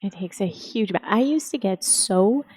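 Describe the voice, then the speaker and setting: A woman in her forties hosting a movement-teaching podcast, talking in a lively, upbeat way. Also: medium pitch, normal speed, husky. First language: English. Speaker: podcast host. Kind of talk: casual podcast conversation.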